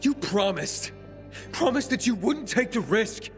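A man's voice: high voice, big pitch swings, unhurried, music in the background, exaggerated voice. An actor playing an earnest, protective young male survivor in a dramatic video game scene. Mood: concerned.

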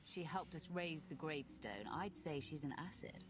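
Casting: self-assured game warrior woman, deep for a female, cool delivery, over clear music. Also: animated, normal speed. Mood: cool, calm. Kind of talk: video game dialogue acting.

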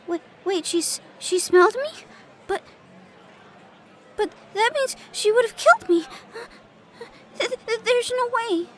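Very high-pitched, stammering spider girl. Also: lively intonation, slow, pauses often, a little hiss or hum, exaggerated voice. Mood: frightened. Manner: stammering, flustered. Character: timid, naive, excitable young spider girl. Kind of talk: audio roleplay acting.

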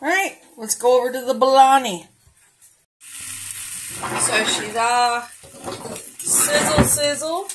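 A woman's voice: high voice, animated, slow pace, pauses often, noisy background. A woman casually narrating a cooking demo in her home kitchen, chatty and homey, talking straight to her viewers. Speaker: cooking vlogger.

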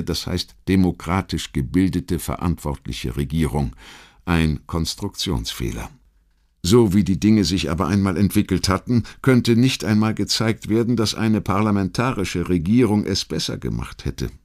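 Male narrator, deep voice, standard German accent, for history audiobook; measured, rhetorical reading with deliberate emphasis. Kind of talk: scripted documentary narration, literary history reading.

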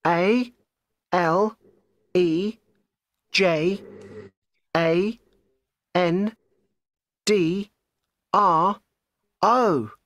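A woman's voice: lowish voice, extremely slow, long pauses, no room sound, dull audio. A woman voicing a friendly sixteen-year-old boy from Spain in a scripted textbook dialogue, carefully spelling out letters. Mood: plain.

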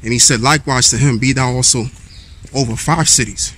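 A man's voice: medium pitch, steady tone, fairly quick, fairly bright tone, a little hiss or hum. A man in his thirties preaching casually to his camera while walking through a wooded park, reading scripture aloud between loose remarks with fillers. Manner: conversational preaching with fillers, reads verses aloud. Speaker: street preacher vlogger. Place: outdoors in a wooded park path. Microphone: handheld camera at arm's length while walking.

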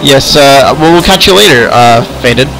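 A man improvising in-character chat in a video game roleplay, halting and often repeating words. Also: medium pitch, expressive, gravelly, over music, poor audio. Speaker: host and player of an online roleplay series.

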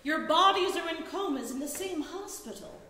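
Woman acting high, cryptic stage observer of the dying. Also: lively intonation, normal speed, sharp tone, exaggerated voice. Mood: matter-of-fact, cryptic. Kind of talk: live amateur stage comedy acting. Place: small theater stage with audience, indoors. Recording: echoey theater stage, faint noise.

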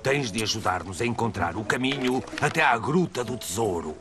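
A man's voice: mid-range voice, lively intonation, normal speed, over music, close to an everyday voice. A man voicing a kindly, playful older grandfather playing sea captain in a children's cartoon, with a European Portuguese accent. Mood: warm, encouraging.

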